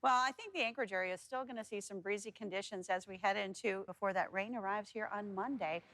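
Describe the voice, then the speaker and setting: A woman's voice: medium pitch, fast, fairly bright tone, no echo, poor audio. A woman with an American accent presenting news and weather live on television.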